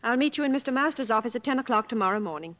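A strong-willed, wealthy lady in an old radio drama. Her voice sits on the high side, and she speaks formally and crisply, ready to challenge. The broadcast sound is somewhat dull. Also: animated, very fast, fairly bright tone, big-room sound. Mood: indignant. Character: proud, strong-willed, wealthy woman on safari. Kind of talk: 1950s radio drama acting.

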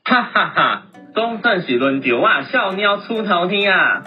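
A man playing a bold young Taiwanese rebel leader in a radio drama, with a rough, husky voice. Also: high voice, animated, unhurried, highs cut off, soft background music. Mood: triumphant.

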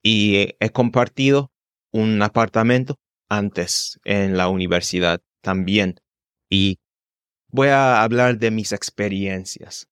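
A man's voice: lowish voice, slow, a bit bright, smooth, no echo, clean studio sound. A man in his twenties hosting a solo podcast, speaking careful, unscripted learner's Spanish with small restarts. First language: English. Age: 20s. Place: home in London.

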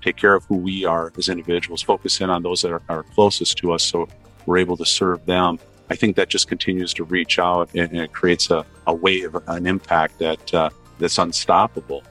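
A baritone-voiced man speaking as a podcast guest in an earnest, reflective way, often repeating phrases as he builds his points. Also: flat delivery, normal speed, a bit bright, soft background music. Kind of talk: podcast interview, consulting talk.

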